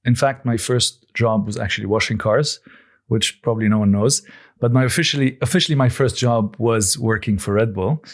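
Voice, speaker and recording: Man, forties, from lebanon, founder guest, middle pitch, measured, pauses and restarts. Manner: measured, thoughtful, with frequent "um" and restarts. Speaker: guest, coffee company founder. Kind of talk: podcast interview answers.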